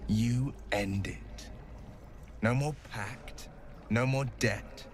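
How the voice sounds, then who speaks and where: An actor playing an earnest young hero bound by a devil's pact, who bargains firmly. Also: male voice, mid-range voice, extremely slow, slight background noise. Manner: earnest, firm.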